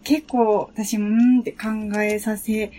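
A woman chatting reflectively as a guest on a relaxed talk show, choosing her words tentatively. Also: mid-range voice, takes it slowly, slight background noise. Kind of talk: relaxed reflective casual chat.

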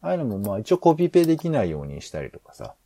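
A man's voice: mid-range voice, huge pitch swings, rapid-fire, warm tone, no echo. A man co-hosting a podcast in casual planning discussion, hesitant and rambling as he thinks aloud. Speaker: podcast co-host.

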